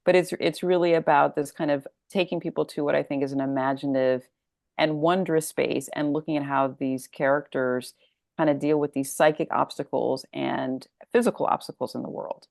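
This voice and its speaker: A woman with a low voice and plenty of vocal fry speaks as an author guest in an interview. She is thoughtful and conversational, expressively stretching out key words, in a very dry recording. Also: fairly even intonation, moderate pace, a little mellow, dry recording.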